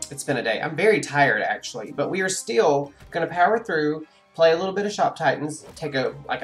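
A man's voice: a little high, a bit bright. A man in his forties, congested, chatting casually on a live stream while playing a game, rambling with many ums and restarts. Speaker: gaming streamer. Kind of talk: casual live-stream chat over gameplay. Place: home room with a webcam.

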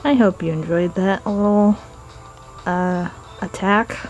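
A woman talks casually and a bit goofily as she presents her own work. Her pace is slow and rambling, and music plays behind her on a homemade camera recording. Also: mid-range voice, a little mellow, lo-fi sound. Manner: goofy, giggly. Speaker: amateur artist and comic dubber. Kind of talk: casual, rambling vlog narration. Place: indoors at home, sitting on the floor.

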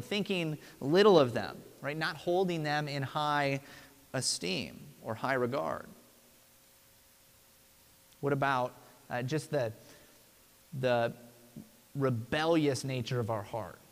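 Pastor, male, 30s; relaxed sermon from the pulpit, higher voice, long sanctuary reverb. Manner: conversational, frequent tag "right?". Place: church sanctuary.

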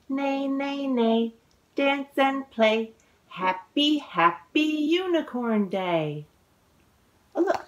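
A woman in her forties reading a children's picture book aloud, expressive and exclaiming, drawing out words for young listeners. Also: fairly high pitch. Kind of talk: animated children's read-aloud storytelling. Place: living room at home, indoors.

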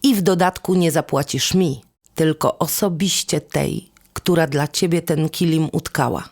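A woman narrating a folk tale as a storyteller, with measured, deliberate phrasing and instrumental music behind her. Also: low-pitched, darkish tone. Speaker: storyteller and host of a podcast series.

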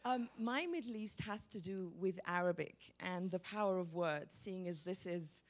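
A woman's voice: fairly low pitch, normal speed. A middle-aged woman from Egypt, telling a personal story on stage in a large hall, speaking expressively into a handheld microphone. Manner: animated, expressive, gestures as she talks. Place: large hall with a live audience.

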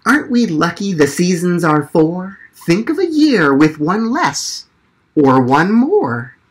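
A man voicing a dreamy, shy poet field mouse from a storybook, reciting slowly and theatrically. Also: sits high, sing-song, breathy edge, full character voice.

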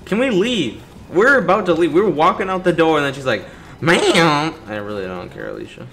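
A man's voice: on the high side, very animated, fairly quick, a bit bright, slight background noise. A man giving casual let's play commentary as a gaming video host, griping with impatient sarcasm. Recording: faint game music behind.

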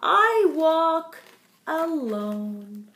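A middle-aged woman reading a picture book aloud to young children in a theatrical, deliberate way, as a storytime host. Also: very high-pitched, big pitch swings, fairly bright tone. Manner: theatrical, slow and deliberate, addressing small children. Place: indoor wood-panelled living room. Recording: roomy, echoing home recording.

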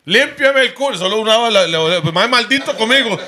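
Animated Costa Rican man telling crude stories. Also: high voice, normal speed. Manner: crude, animated storyteller, acts out voices. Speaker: podcast co-host. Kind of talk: casual chat. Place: studio set like a living room. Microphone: handheld mic.

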